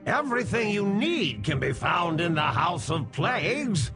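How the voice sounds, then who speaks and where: An actor playing an eager undead plague researcher in a video game, speaking theatrically. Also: male voice, sits high, sing-song, measured pace, sharp tone, soft background music, full character voice. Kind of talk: video game cutscene acting.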